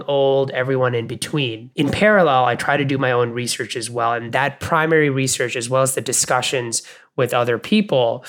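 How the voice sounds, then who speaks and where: A man co-hosting a podcast, sharing reflective takeaways in a measured, thoughtful way with frequent fillers. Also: medium pitch, a bit bright. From Bangladesh, Canada and the US. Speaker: podcast co-host.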